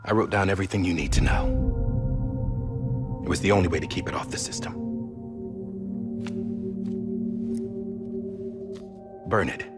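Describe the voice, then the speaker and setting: An actor playing a loyal, guilt-ridden soldier in a game drama, halting and earnest, often dropping toward a whisper. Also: male voice, low-pitched, natural voice. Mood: grave, hushed. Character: loyal, guilt-ridden soldier torn between oath and former commander. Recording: loud music behind the voice. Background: cinematic score.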